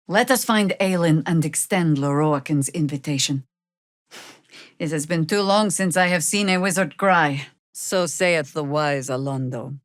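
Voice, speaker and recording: An actress playing a seasoned, middle-aged druid warrior woman in a fantasy video game, commanding and wry, with a dry, deliberate delivery. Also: on the low side, no echo. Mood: wry, probing.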